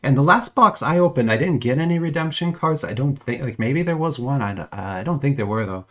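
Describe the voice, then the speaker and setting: A man's voice: medium pitch, expressive, a little fast, fairly bright tone. Rambling man with a head cold. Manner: rambling, hesitant, frequent restarts and chuckles. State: has a cold, voice a bit off. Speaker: trading card unboxing vlogger. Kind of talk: casual unboxing chat. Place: at home.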